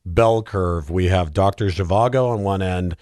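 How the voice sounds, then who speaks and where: Lowish-voiced man, casual podcast guest. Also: moderate pace, darkish tone, no echo, studio quality. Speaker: podcast guest, film show host. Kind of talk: casual, humorous chat.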